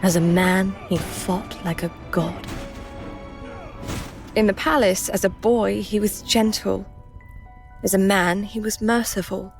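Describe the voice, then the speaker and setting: A storyteller of heroic legend in a fantasy audio drama. Her medium-pitched voice moves slowly and lyrically, measured and reverent, with music underneath. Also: steady tone, gravelly. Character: woman storyteller reciting a hero's legend, reverent.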